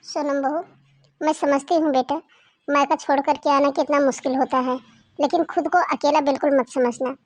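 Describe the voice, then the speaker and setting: A woman's voice: high-pitched, steady tone, moderate pace, close to an everyday voice. A woman doing a doting middle-aged mother-in-law. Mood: reassuring. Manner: affectionate, coaxing, motherly.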